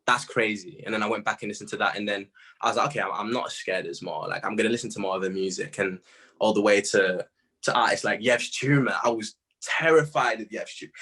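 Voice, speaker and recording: A man in his twenties with a husky voice, a guest artist chatting casually and telling stories in animated slang. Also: mid-range voice. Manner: animated slangy storytelling, quoting own reactions. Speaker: interview guest, music artist. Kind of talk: casual chat.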